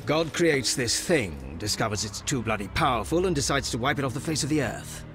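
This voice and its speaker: A weathered British army major in a game cutscene, voiced by a man. His pitch is average and his talk is wry and colloquial, like a soldier telling a tale. Faint music plays behind him. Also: very animated, fairly quick, natural voice. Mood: grave, storytelling. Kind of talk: video game cutscene acting.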